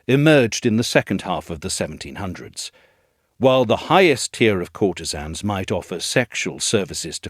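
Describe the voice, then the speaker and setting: Low-voiced man narrating, expressively intoned. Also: dry recording, studio quality. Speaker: essay narrator, reader. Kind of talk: scripted audio essay narration.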